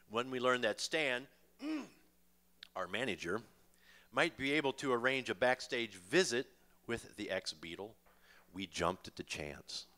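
An older man with an American accent reading his memoir live on stage, with expressive storytelling and dramatic pauses. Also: medium pitch. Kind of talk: live book reading to an audience. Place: stage in a small dim music venue. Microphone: vocal mic on a boom stand, close.

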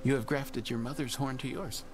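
A man playing a gentle, wounded horned man in a video game, speaking simply and earnestly with sadness. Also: medium pitch, steady tone, normal speed, a little hiss or hum, lightly acted. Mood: sad, gentle.

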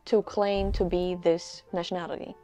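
A woman's voice: on the low side, little pitch movement, moderate pace, warm tone, soft background music. A young woman from Europe presenting a scripted explainer video in a dry, teasing, matter-of-fact way.

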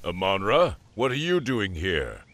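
An actor playing a gruff, hot-tempered warrior with a blunt, sardonic manner in a video game. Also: male voice, fairly low pitch, very animated, unhurried, fairly bright tone, full character voice. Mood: gruff, irritated. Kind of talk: dramatic video game voice acting.

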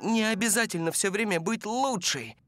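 A woman voicing an eager, bumbling, kind-hearted teenage boy trainee in an animated series dub, excitable and stammering. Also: medium pitch, normal speed, lightly acted. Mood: defensive, earnest. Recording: music behind the voice.

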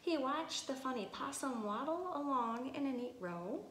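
A woman with a slightly high voice speaks as a preschool teacher doing a read-aloud. Her phrasing is simple and expressive, aimed at small children. The room is very echoey, with some faint noise. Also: normal speed. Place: indoor room with tiled wall. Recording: echoey room with noticeable hiss.

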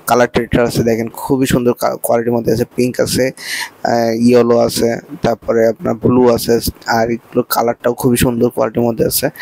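A man with a Bangladeshi accent gives a promotional shop tour. His delivery is quick and strikingly monotone, at a middling pitch with a creaky edge. He works through repetitive sales patter as a presenter. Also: no room sound, rough recording. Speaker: presenter showing fish for sale.

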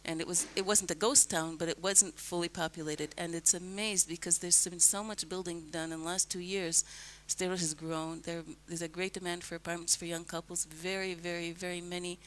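An older woman from the United States answering questions in a calm, measured, earnest way on a radio interview, speaking into a headset mic in a broadcast booth. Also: on the low side, a little hiss or hum, low-quality recording. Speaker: guest, resident.

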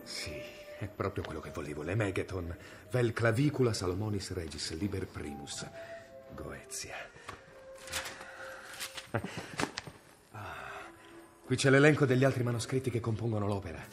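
A grim medieval inquisitor. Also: male voice, lowish voice, expressive, normal speed, music in the background. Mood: probing. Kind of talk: radio drama acting.

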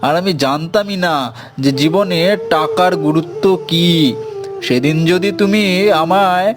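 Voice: medium pitch, measured pace, natural voice. Cartoon casting: eager, sincere young male vendor acted out, emphatic and repetitive, music underneath. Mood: earnest, emotional. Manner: emphatic, repeats phrases. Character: eager young son turned noodle street vendor, sincere. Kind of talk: cartoon voice acting with singsong hawking.

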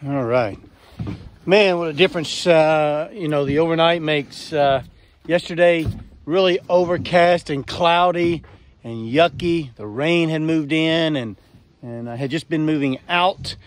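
A man's voice: a little high, unhurried. A man in his forties with a Southern US accent, vlogging casually from his car and a building site, rambling with lots of fillers. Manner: folksy, rambling with many fillers. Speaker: homestead vlogger. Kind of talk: casual vlog talk to camera. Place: in a moving car, then outdoors at a framed cabin site. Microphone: phone or camera held at arm's length. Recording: loud wind and road noise.

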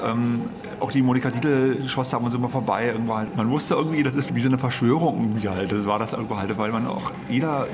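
A man reminiscing casually in choppy, colloquial phrases. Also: medium pitch. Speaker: interviewee, techno scene witness. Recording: electronic music bed behind voice. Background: music.

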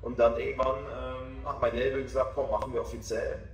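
A man in his twenties, a DJ, talking about his music in a relaxed, self-assured way during a casual interview. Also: medium pitch, brisk, recorded from a distance. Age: 20s. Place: small backstage room with sofa, indoors. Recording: echoey room, voice off-mic.

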